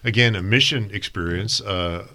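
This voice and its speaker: Low-voiced man moderating a Bible discussion. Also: normal speed, pushed and bright. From northern Thailand. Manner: asks questions, reads scripture aloud. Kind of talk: panel Bible study discussion.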